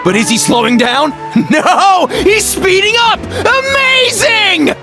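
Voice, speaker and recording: A voice actor playing an excitable tournament announcer calling the race play by play. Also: very high voice, takes it slowly, full character voice. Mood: excited. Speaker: race commentator. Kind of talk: video game voice acting. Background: loud game music.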